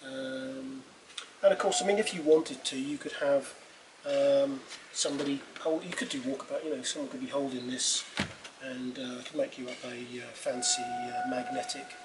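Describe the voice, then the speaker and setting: A middle-aged man talks casually through a product demonstration. His delivery is offhand and halting, at an ordinary pitch. The recording has clearly audible background noise. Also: steady tone. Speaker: presenter demonstrating portable PA equipment. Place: small wooden cabin with doors open. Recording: clear background noise, open-room ambience.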